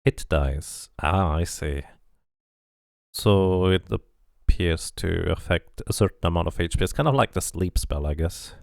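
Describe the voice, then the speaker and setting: A man's voice: low voice, animated, unhurried, creaky, darkish tone, no room sound, studio quality. A man giving casual game commentary, thinking aloud as he plays and reading bits of game text out. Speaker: streamer playing and narrating a game.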